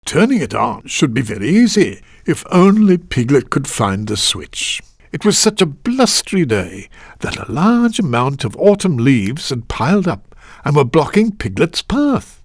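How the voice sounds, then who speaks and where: A lilting, curious storybook narrator. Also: male voice, a little high, very animated, breathy edge, dry recording, studio quality, heavily acted. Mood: gently curious. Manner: measured, articulate, gently musing with rhetorical questions. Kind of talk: storybook narration for a children's video game.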